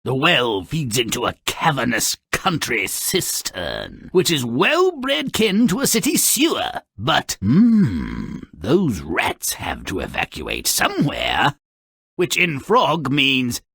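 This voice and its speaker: An actor voicing a cynical, gleefully wicked little gremlin who narrates fairy tales in a video game, with a gravelly rasp and a sarcastic, theatrical drawl. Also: male voice, mid-range voice, wildly swooping pitch, slow pace, pushed and bright, no echo, studio quality, heavily acted. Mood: sardonic. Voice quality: raspy, gravelly.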